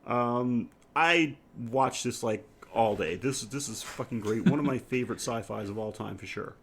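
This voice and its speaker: A man's voice: medium pitch, fairly bright tone, husky. A man co-hosting a podcast, reviewing a film casually, swearing freely and often searching for his words. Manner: profane, halting, searching for words. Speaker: podcast co-host. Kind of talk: casual chat, movie review.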